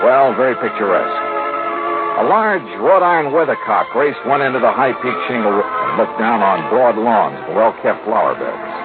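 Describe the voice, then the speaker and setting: A man with an American accent playing a wry, skeptical freelance insurance investigator in a vintage radio drama, speaking in a punchy, expressive way. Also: medium pitch, natural voice. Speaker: radio drama lead actor and narrator. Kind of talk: 1950s radio acting, first-person detective narration. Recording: tinny narrow-band vintage radio, music behind.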